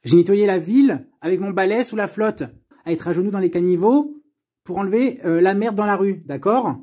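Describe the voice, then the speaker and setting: A man's voice: high voice, brisk, a little mellow. A man from France delivering an unscripted personal rant, blunt, sarcastic and exasperated, with plenty of swearing.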